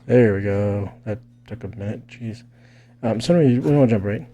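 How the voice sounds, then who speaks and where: Low voiced guy doing a casual fighting game tutorial, really mellow and dark tone, kinda rambling as he thinks out loud. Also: brisk, faint music behind. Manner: rambling, thinks aloud, tags points with "right?". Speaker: gaming video creator explaining techniques.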